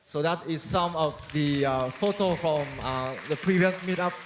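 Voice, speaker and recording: A man's voice: fairly high pitch, background noise. Man speaking in a hall. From Vietnam. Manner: friendly, halting, many fillers and restarts. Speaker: conference speaker. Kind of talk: technical conference talk. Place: large conference hall. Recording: long reverb of a large hall.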